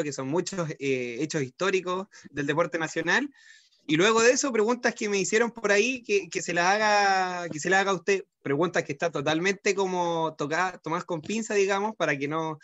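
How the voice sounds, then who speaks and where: A man's voice: high voice, fairly bright tone, no room sound. A man asking interview questions politely and hesitantly, with many fillers.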